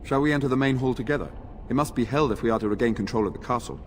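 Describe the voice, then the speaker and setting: A man's voice: medium pitch, rapid-fire, slight background noise, close to an everyday voice. A man voicing a formal, courteous knight commander in a video game. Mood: earnest.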